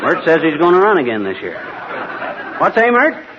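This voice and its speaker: An actor playing a grumbling, jealous know-it-all husband, folksy and wisecracking, in 1940s radio comedy style. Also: male voice, a little high, wildly swooping pitch, moderate pace, crowd noise. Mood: chatty, excitable. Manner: folksy, wisecracking, blustery bluffing. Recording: muffled, hissy 1940s radio recording.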